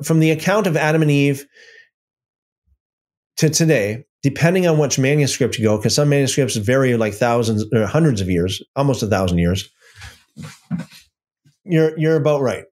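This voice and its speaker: A man's voice: medium pitch, moderate pace, pauses often, a little mellow. A man hosting a livestream Bible study, reading out viewer comments and answering them with many restarts and fillers. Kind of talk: casual livestream Bible talk.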